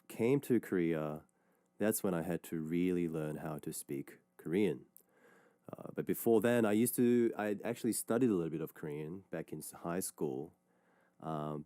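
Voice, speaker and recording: A man's voice: sits low, dry recording. A man, hosting a radio show and chatting casually between listener messages, rambling with lots of fillers. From Australia. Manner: relaxed, rambling, many fillers and restarts. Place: radio studio. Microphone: studio microphone.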